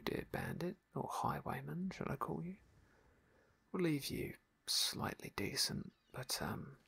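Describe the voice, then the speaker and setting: A man's voice: medium pitch, creaky, husky. A man whispering wry commentary as he plays a fantasy game for ASMR. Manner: soft, wry, playful asides.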